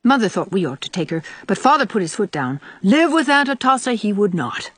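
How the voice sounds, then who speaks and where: A woman voicing a lively, pleasant, frank young country woman in an audiobook. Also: medium pitch, brisk, husky, dry recording, voice pushed up. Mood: chatty. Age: young adult. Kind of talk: audiobook character voice.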